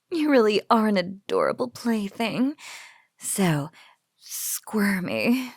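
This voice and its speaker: A close, dry, breathy female spider monster acted by a woman in an intimate audio roleplay. She coos slowly and possessively over her captive, teasing and doting, her drawl often sinking into whispers. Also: mid-range voice, animated, dry recording, exaggerated voice. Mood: gloating, playful. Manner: teasing, drawling coo with giggles.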